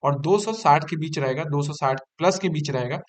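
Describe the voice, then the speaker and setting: Higher-pitched man explaining. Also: moderate pace. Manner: repeats figures and key points for emphasis. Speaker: online exam-information presenter. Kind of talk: informal explanatory talk to exam candidates.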